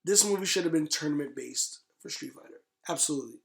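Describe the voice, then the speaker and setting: A man in his thirties with a fairly high voice, talking in a very roomy, echoey space. He gives casual, opinionated movie commentary as a podcast co-host, repeating himself to drive points home. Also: animated, fast, vocal fry. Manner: emphatic, repeats phrases for stress.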